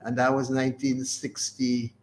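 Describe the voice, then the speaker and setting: A man's voice: mid-range voice, little pitch movement, normal speed, slight background noise. A man, reminiscing as an interview guest in a hesitant, rambling way that circles back on itself. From Caribbean. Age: 80s. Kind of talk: unscripted reminiscing in a radio interview.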